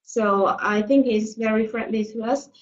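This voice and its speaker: A woman's voice: fairly high pitch, steady tone, a little slow. A student woman from China talking about her choice of study, hesitant and full of fillers. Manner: hesitant, many fillers, laughs easily. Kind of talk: webinar testimony. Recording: distant mic, dull lo-fi sound.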